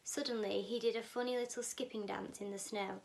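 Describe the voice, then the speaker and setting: A woman's voice: mid-range voice, steady tone, brisk, vocal fry, slight background noise, low-quality recording. A woman reading a children's story aloud as a narrator. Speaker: audiobook reader, teaching assistant.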